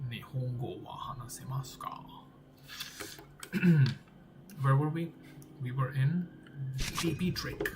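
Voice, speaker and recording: A man's voice: mid-range voice, slow pace, long pauses, over loud music. A young man who is not a native English speaker, talking to himself while he works through game code, repeating words and trailing off. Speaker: game developer. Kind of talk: think-aloud coding vlog.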